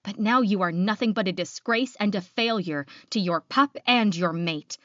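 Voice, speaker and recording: A woman voicing an angry, proud female werewolf leader, sharp and confrontational, in an audiobook. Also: mid-range voice, normal speed, dry recording, highs cut off, lightly acted. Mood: self-reproachful.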